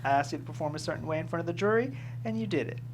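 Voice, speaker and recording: A man's voice: on the high side, lively intonation, racing, noisy background, natural voice. A man playing a dry, modest trial consultant, candid and supportive, in a screenplay table read. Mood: candid, encouraging.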